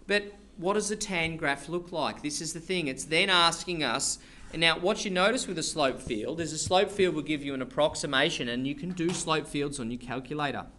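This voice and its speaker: Lively, bright-voiced male maths teacher. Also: fairly high pitch, moderate pace, few pauses, a little hiss or hum. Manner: chatty, playful, rambling restarts. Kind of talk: classroom lesson, joking asides. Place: classroom.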